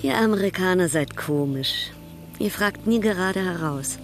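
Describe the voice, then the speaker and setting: Radio drama baroness, slightly low female voice, proud, worldly, arch, teasing, poised. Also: lively intonation, moderate pace, soft background music. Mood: proud, frank. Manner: arch, poised, coolly ironic. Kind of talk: German radio drama acting.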